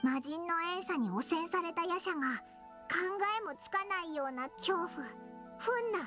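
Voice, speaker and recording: An actress voicing a small, curious, childlike fairy companion in an anime video game, with a squeaky voice and rough boyish phrasing. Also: female voice, animated, a little slow. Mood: troubled, sympathetic. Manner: rough boyish sentence endings. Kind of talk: Japanese anime game acting. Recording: game music behind the voice.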